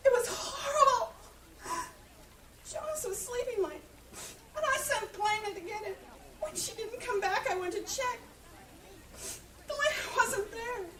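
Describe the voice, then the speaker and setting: A woman playing a distraught, grief-stricken village woman in a fantasy audio drama, wailing and halting, in an echoey space. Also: very high voice, animated, sharp tone, a little hiss or hum, exaggerated voice. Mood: horrified, sobbing. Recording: echoey hall, distant from microphone.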